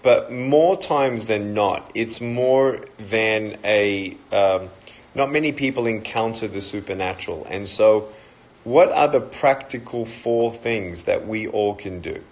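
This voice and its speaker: A man's voice: fairly low pitch, slow pace, low-quality recording. Chatty Australian man on a livestream. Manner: chatty, joking, greets viewers by name. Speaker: motivational speaker. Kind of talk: casual livestream talk to viewers.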